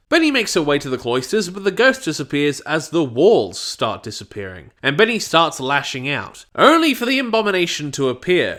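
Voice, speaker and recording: Bright, animated man narrating a review. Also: fairly high pitch. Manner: wry, informal, sweary. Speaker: audio drama reviewer.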